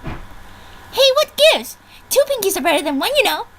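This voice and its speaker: Hyperactive young cartoon mare, extremely high and swooping, bouncy, wheedling delivery, noisy amateur recording. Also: female voice, big pitch swings, normal speed. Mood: indignant. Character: hyperactive young female cartoon pony, playful and pleading. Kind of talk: amateur fan fiction reading.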